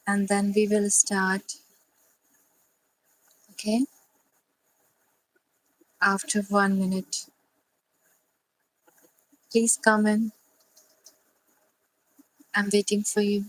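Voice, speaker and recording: Very slow, even-toned woman guiding meditation. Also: medium pitch, dry recording, rough recording. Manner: gentle, unhurried, soothing delivery with long pauses. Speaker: meditation guide. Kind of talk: slow guided sleep meditation.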